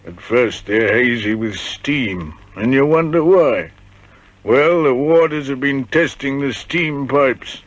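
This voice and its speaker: An actor playing a haunted old ex-convict with a cracked voice, telling grim prison memories with bitter irony in a radio drama. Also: male voice, medium pitch, animated, slow, no room sound. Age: older. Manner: grim, bitterly ironic storytelling. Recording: dull, lo-fi vintage radio recording.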